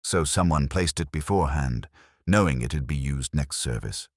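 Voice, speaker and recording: A man's voice: extremely low pitch, moderate pace, no room sound, clean studio sound. A man playing an eager, deferential police sergeant in a mystery story, earnest and keen.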